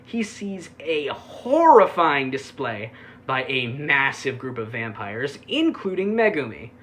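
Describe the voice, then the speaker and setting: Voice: mid-range voice, big pitch swings, takes it slowly, fairly bright tone, a little hiss or hum. A reviewer giving a casual, sarcastic recap, full of offhand asides and swearing. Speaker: anime reviewer.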